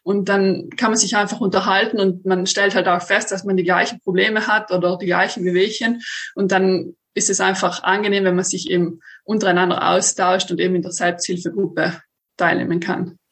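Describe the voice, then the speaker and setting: A woman from Tyrol, calmly explaining her situation as a guest in an interview. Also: mid-range voice, fairly quick. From South Tyrol. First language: German.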